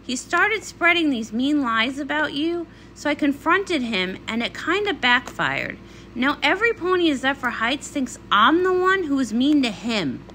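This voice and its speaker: A woman's voice: high voice, very animated, measured pace, pushed and bright, slight background noise, exaggerated voice, poor audio. A woman voicing a young female pony pop star, dramatic, defensive and a little whiny, in a comic read-along. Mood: miffed.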